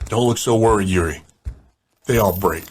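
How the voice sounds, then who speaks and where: A man's voice: on the low side, moderate pace, darkish tone, a little hiss or hum, lightly acted. A man playing a sadistic gang boss and interrogator in an audio drama, mocking, menacing and controlled. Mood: smug, amused.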